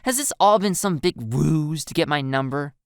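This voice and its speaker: Woman voicing a teasing, high-lilting teenage schoolgirl. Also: expressive, moderate pace, clear, resonant tone, no echo, studio quality. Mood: indignant. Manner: playful, mock-innocent, amused. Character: teasing, gleeful teenage schoolgirl with a mischievous streak.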